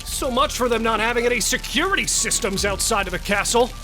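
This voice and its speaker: Cautious wisecracking robber, music behind. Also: male voice, extremely high pitch, brisk. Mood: shocked. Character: cautious, joking male killer and robber, reassuring partner in crime. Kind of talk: horror audio drama dialogue. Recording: music and effects under the voice.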